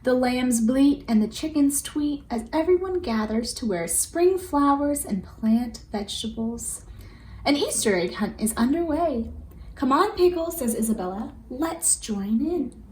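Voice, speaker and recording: A woman with a fairly high voice reads a children's storybook aloud. Her delivery is expressive and deliberate, shaped for young listeners. The recording has a roomy echo and some faint background noise. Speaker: storybook reader. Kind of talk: children's read-aloud narration.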